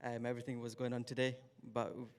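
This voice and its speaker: A man's voice: mid-range voice, flat delivery, fast talker, clear, resonant tone. Man, casual, hesitant, football fan. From Blackburn, northwest England. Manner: casual, hesitant, slightly unsure.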